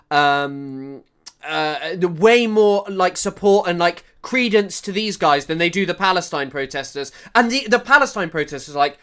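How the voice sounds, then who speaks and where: Lively, mocking young man. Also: high-pitched. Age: 20s. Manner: mocking, rambling, many fillers and restarts. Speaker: commentator reacting to clips. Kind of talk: casual reaction commentary.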